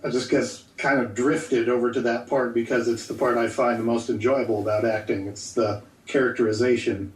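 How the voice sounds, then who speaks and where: A man being interviewed as a guest voice actor, easygoing and thoughtful, often pausing to think. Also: mid-range voice, level tone. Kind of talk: casual podcast interview. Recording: echoey room, distant from the mic.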